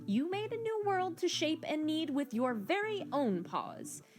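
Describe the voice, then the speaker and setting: Woman playing brash, high-voiced flirty cat lady. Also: very animated, measured pace, faint music behind. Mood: teasing, insistent. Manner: teasing, colloquial, theatrical. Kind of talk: amateur audio comic drama.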